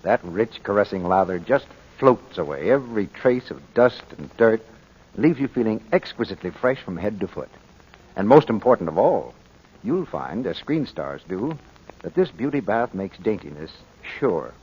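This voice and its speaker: A male 1940s radio announcer with a low, husky voice. He pitches the copy with wide, lively intonation. The vintage broadcast recording is muffled. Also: normal speed.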